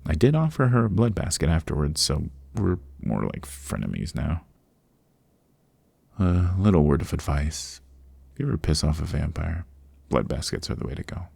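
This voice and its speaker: A very low, husky voice belongs to a proud, sociable old vampire gentleman, acted by a man. He chats with genteel, old-fashioned courtesy in an ASMR roleplay. The sound is close and dry, with a gravelly edge. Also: big pitch swings, moderate pace, no room sound, full character voice. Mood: amused, chatty. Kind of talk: ASMR roleplay, interview monologue. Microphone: close microphone, dry.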